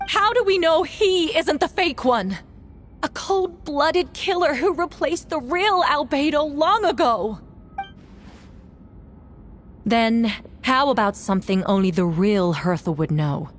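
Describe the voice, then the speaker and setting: A woman's voice: high voice, expressive, slow, a little hiss or hum. A woman voicing an imperious female knight in a video game, accusing theatrically and growing frantic. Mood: desperate. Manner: theatrical, forceful courtroom accusing. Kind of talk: dramatic video game voice acting.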